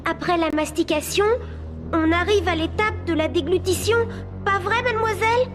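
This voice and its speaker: A woman voicing a bright, studious schoolchild in a French cartoon dub, explaining facts eagerly. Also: very high voice, moderate pace. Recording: music playing behind the voice.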